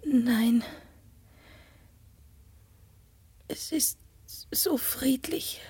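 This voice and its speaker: A young, sickly gentlewoman in a German gothic radio drama. Her voice is high and breathy and she takes her time, sounding languid and melancholy in a very dry studio sound. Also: extremely slow, no room sound, exaggerated voice. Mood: languid, subdued. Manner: hushed, languid delivery. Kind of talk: German radio play acting and first-person narration.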